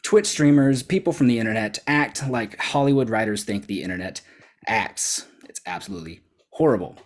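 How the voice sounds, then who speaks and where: A man's voice: on the low side, lively intonation, moderate pace. A man arguing as a debate contestant, casual but persuasive, with emphatic, punchy phrasing.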